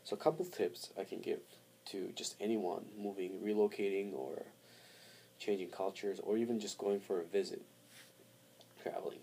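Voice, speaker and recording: A man talking casually to camera as a vlogger, halting as he thinks aloud. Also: deep voice, gravelly, dark tone, a little hiss or hum. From born in Montreal, Canada, raised in Armenia from four to seventeen. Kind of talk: casual personal talk to camera.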